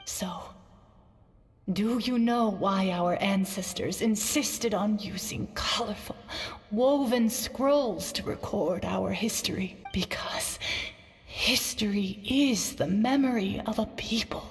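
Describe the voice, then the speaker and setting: An actress voicing a frail elderly woman weaver near death, speaking softly and in whispers, coughing and weak, in a video game. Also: mid-range voice, in a large hall. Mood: gentle, solemn. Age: older. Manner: soft, whispery, slow and tender.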